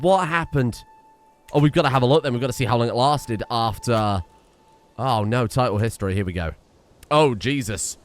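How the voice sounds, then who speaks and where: A young man giving casual, excitable commentary on a strategy game, often exclaiming and restarting his sentences. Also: medium pitch. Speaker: gaming streamer. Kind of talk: casual let's-play commentary.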